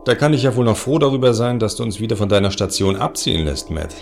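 Man acting gravelly cult boss telling his story, scornful, swoopy delivery, sci-fi radio play. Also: lowish voice, animated, fast, faint music behind. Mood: defiant. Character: scornful, ambitious male cult leader narrating his story. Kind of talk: science fiction audio drama narration.

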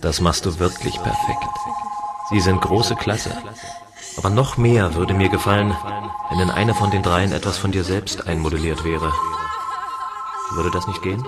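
A man's voice: sits low, moderate pace, pauses often. A man playing a lonely, easygoing space station guard, narrating and speaking in a scripted sci-fi radio play. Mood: flirtatious, amused. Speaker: voice actor and first-person narrator.

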